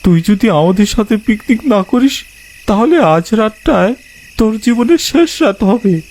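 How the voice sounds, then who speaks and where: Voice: mid-range voice, expressive, a little slow, darkish tone, pitched above the performer's own voice. A man voicing a tall, menacing male djinn in a horror story, speaking with insistent threat.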